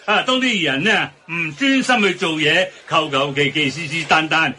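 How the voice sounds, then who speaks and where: An actor playing a grumbling, penny-pinching restaurant boss with a scolding Hong Kong Cantonese delivery in a radio drama. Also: male voice, fairly high pitch, normal speed, pushed and bright. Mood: sarcastic, stern. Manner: scolding, sarcastic.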